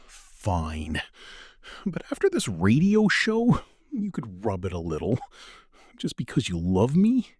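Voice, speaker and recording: A man's voice: fairly low pitch, wildly swooping pitch, slow, darkish tone, slightly rough, no echo, studio quality, exaggerated voice. A man playing a whiny, self-pitying older husband who teases his wife in a domestic comedy sketch. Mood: grudging, coaxing. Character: whiny, teasing older husband with a sore toe.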